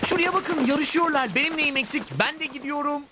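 A dopey, innocent cartoon dog. The voice sits very high and muses in naive puzzlement, with loud music behind it. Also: male voice, brisk. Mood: puzzled. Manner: puzzled musing to himself. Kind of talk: Turkish cartoon dub.

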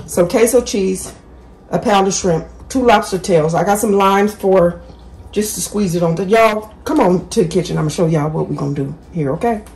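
A woman's voice: mid-range voice, moderate pace, gravelly, a little mellow. A woman hosting a casual cooking tutorial from her home kitchen, chatting straight to viewers and correcting herself as she goes. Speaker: cooking channel host.

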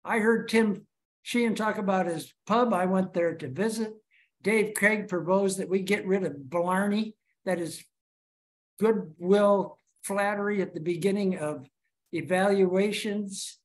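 A man's voice: sits high, unhurried. A man from Chicago giving a prepared speech to his club over a video call, telling his story with rhetorical questions. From Chicago, USA.

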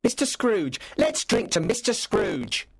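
A gentle, cheerful poor clerk, put on by a male storyteller speaks softly to calm his family. His voice sits somewhat higher than the reader's own and rises and falls freely. Loud noise is heard behind him. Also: slow pace, exaggerated voice. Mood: placating.

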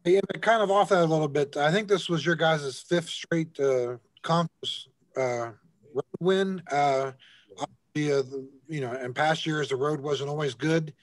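A man working as a sports reporter, asking press conference questions in a halting, hesitant way. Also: fairly high pitch, dry recording, poor audio. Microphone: remote call.

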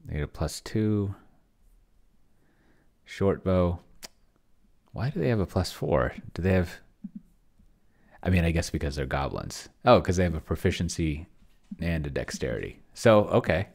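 A man's voice: low voice, very animated, measured pace, vocal fry, pauses often, a little mellow. A middle-aged man giving casual screencast commentary, rambling and musing to himself as he reads along. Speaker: host playing solo tabletop roleplaying with a chatbot. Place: small cluttered indoor room.

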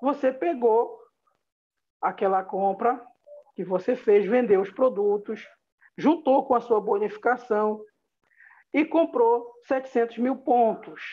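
A woman's voice: on the high side, measured pace. A woman with a Brazilian accent gives an upbeat, motivational business presentation over a video call, talking straight to her listeners. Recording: dull lo-fi video call audio.

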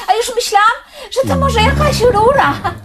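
A woman's voice: extremely high pitch, animated, moderate pace, a loud crowd. An actress in a comedy radio play playing a devoted, fussy, naive lady with a theatrical, polite, fluttery manner. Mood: earnest, puzzled.

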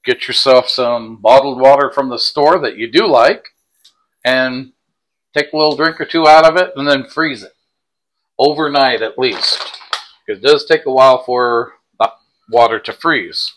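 Man, sixties, vlog co-host with a mid-pitched voice, chatting casually and giving tips indoors. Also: unhurried, fairly bright tone, low-quality recording. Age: 60s. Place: indoors in a small home kitchen and bedroom. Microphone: clip-on lapel mic.

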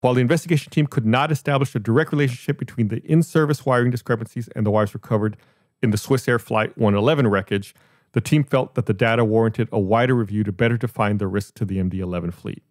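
A man's voice: medium pitch, steady tone, fast, a little mellow, no room sound, clean studio sound. An American man hosting a podcast, reading out and explaining a report in a matter-of-fact way. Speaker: podcast host.

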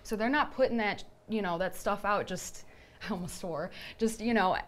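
A woman with a medium-pitched voice co-presents a technical product review. Her delivery is informal and enthusiastic, like a casual conversation about gear she knows well. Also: moderate pace.

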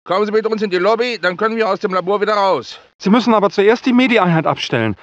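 High-pitched, hurried lab scientist. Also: male voice, expressive, no room sound. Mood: urgent. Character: high-strung, hurried male laboratory scientist. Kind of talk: dramatic game character dialogue, German dub. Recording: dull lo-fi game audio.

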